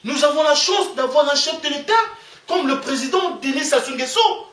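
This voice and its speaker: A Congolese man with a Congolese French accent delivers a fervent, preachy monologue, hammering his points home by repeating them. His voice sits high for a man. He sounds set back from the mic in a room full of echo. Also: moderate pace, sharp tone, recorded from a distance. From Republic of the Congo. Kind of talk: impassioned monologue. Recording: echoey room, away from the mic.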